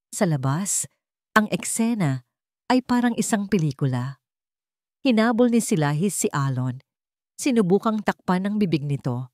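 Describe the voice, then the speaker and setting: Female storyteller, slightly low register, dry studio-close sound, scripted dramatic narration. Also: a little mellow, no echo.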